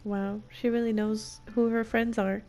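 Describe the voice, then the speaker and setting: A woman's voice: a little high, level tone, measured pace, a little mellow. A woman giving casual gameplay commentary, playful and slangy, reacting in short quips. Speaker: gameplay streamer. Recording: faint game music behind.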